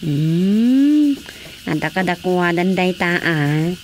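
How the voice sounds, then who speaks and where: An actress playing an expressive wife in a radio drama, speaking with an Ilocano Filipino accent. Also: female voice, on the low side, slow pace, a little hiss or hum. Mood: skeptical, resigned.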